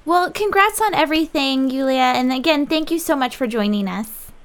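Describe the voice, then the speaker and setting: A bubbly woman hosts a live online interview show, her voice high and bright. She gushes over her guests and signs off with effusive thanks, speaking over a video call. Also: animated, moderate pace. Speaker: host of live web show. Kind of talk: upbeat live-show hosting.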